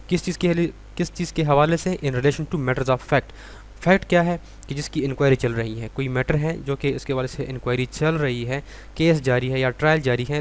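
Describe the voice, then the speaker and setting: Low-pitched Pakistani man lecturing, noisy recording. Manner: didactic, repeats and rephrases points. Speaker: law exam tutor. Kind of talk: explanatory lecture, reading questions then explaining them.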